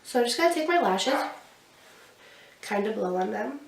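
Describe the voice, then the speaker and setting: A woman's voice: on the high side, animated, moderate pace, vocal fry, noisy background. A young woman with a stuffy nose from a cold, chatting casually through a makeup tutorial while she applies products. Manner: chatty, explaining each step while applying makeup. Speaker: beauty vlogger. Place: small indoor room with a glittery backdrop. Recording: roomy sound, somewhat far from the mic.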